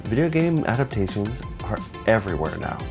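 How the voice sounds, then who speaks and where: A man's voice: fairly low pitch, sing-song, brisk, gravelly, over music. A man narrating a scripted review as host, with emphatic, rhetorical phrasing. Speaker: host of a video game movie review show.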